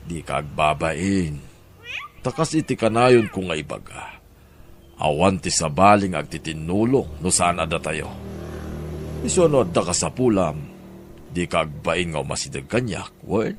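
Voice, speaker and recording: A man's voice: deep voice, animated, unhurried, long pauses. A man playing a concerned, helpful rural Filipino in an audio drama, with an Ilocano accent. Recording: faint background noise.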